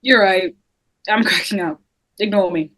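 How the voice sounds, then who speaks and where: A woman's voice: mid-range voice, unhurried, fairly bright tone, no room sound. Guarded teenage girl, acted by a woman. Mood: troubled. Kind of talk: table read of a film script.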